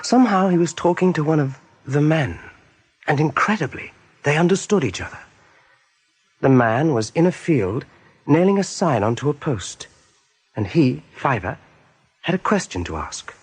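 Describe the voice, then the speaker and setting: An actor narrating an animal adventure for a radio play in a measured, suspenseful storytelling manner. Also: male voice, on the high side, sing-song, slightly rough. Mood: ominous.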